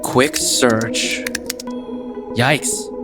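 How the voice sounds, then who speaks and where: A man's voice: mid-range voice, steady tone, extremely slow. Mid-range young male voice, actor as confident schoolboy hacker, cocky, casual, audio drama, light music. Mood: smug, focused.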